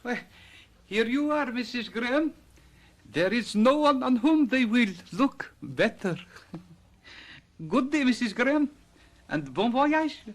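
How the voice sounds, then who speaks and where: A flattering old-time radio shopkeeper, super deferential and courtly, kinda insistent. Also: male voice, very high voice, slow, long pauses, a little hiss or hum, heavily acted. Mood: gracious. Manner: effusive, deferential.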